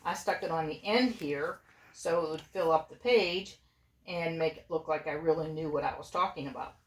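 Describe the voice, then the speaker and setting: A woman's voice: deep voice, normal speed. A woman, a mother, reading a storybook aloud informally and expressively, with playful asides to her family. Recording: echoey room.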